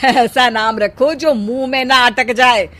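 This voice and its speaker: Sharp-tongued cartoon mother-in-law, played by a woman, high voice, scornful and sarcastic retorts. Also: steady tone, a little slow. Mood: mocking. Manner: mocking, scolding retorts. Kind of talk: comic cartoon dialogue.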